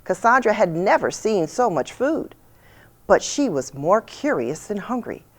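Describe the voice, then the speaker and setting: A woman reading a children's picture book aloud with expressive storytelling delivery. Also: mid-range voice, unhurried. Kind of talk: storybook read-aloud narration.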